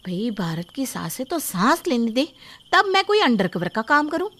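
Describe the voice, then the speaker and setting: A woman's voice: a little high, very animated, moderate pace, a bit bright. A woman playing an overworked young daughter-in-law who complains dramatically in a story. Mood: exasperated. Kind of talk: moral story dialogue.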